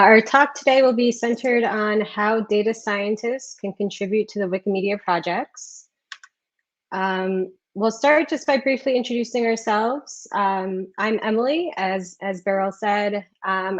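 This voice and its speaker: A woman gives a friendly presentation on a video call, dotted with ums. Her voice sits at a middle pitch, and the lo-fi call audio dulls it. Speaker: research community officer. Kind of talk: presentation talk.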